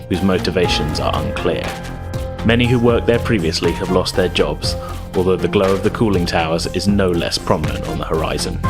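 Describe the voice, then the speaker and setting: A man hosting as game master, narrating a tabletop roleplaying game in a measured way. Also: sits low. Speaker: game master, podcast host.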